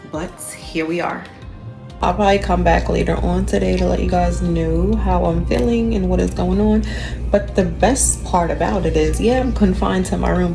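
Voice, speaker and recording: A woman's voice: lowish voice, gravelly, darkish tone. A woman travel nurse vlogging from a hotel room, talking in a rambling, chatty way full of fillers. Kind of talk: casual vlog diary. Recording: music playing under the voice.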